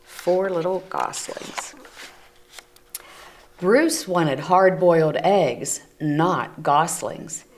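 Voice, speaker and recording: Slow, low-voiced woman reading to children. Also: lively intonation, slight background noise. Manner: gentle, explains pictures to children. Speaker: children's book reader. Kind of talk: read-aloud storytelling for children. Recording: community TV recording.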